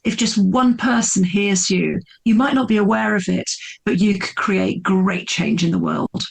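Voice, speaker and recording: A woman's voice: mid-range voice, a little slow, creaky, breathy edge. A middle-aged woman, a podcast guest telling her story in an earnest, emphatic way, often repeating words for effect. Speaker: podcast guest, speaker and coach. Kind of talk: candid storytelling interview.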